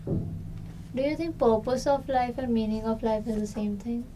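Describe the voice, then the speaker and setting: A woman's voice: fairly high pitch, unhurried, background noise. A woman talking casually as a participant in an unscripted group discussion.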